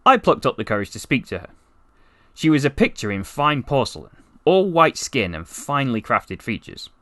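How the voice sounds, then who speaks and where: A man's voice: mid-range voice, pushed and bright, dry recording, studio quality. A man voicing a chatty, wry, besotted half-alien narrator who tells his comic story conversationally, with rambling asides. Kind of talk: first-person comic story narration, voice acting practice.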